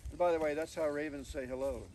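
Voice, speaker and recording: Man, storybook reader, highish voice, expressive, chatty asides, distant noisy outdoor recording. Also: quick pace. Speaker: author reading from own book. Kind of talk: animated storybook reading to live listeners. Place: outdoors on a mountain.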